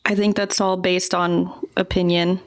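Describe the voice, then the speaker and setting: A woman giving relaxed, thoughtful answers as an interview guest, often hedging her points. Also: lowish voice, a little slow.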